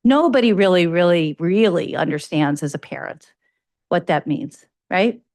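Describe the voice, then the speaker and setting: A woman's voice: fairly low pitch, animated, moderate pace, darkish tone, no room sound. Woman with a slight Chicago accent lecturing. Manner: conversational, self-correcting, with frequent fillers. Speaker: university researcher giving a grand rounds lecture. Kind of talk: academic lecture.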